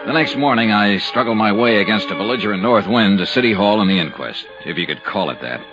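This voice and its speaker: A man's voice: lowish voice, lively intonation, quick pace, natural voice. An actor playing a wry male insurance investigator who narrates a case in an old radio drama. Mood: dry. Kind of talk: 1950s radio drama acting. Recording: dull old radio recording with music.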